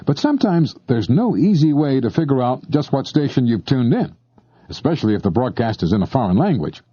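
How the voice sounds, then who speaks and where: Guy narrating a how-to tape, chatty and scripted, very animated pitch, slightly muffled dry sound. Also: fairly quick, husky, dry recording. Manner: conversational, addressing the listener directly. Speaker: narrator of an instructional tape on shortwave listening.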